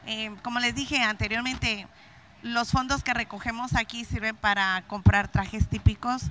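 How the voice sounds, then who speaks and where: A woman's voice: fairly high pitch, steady tone, a little slow, heavy background noise. A middle-aged woman from Guatemala, a craft vendor, speaks nervously with many fillers and repeats into a handheld mic at an indoor event. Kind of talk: interview.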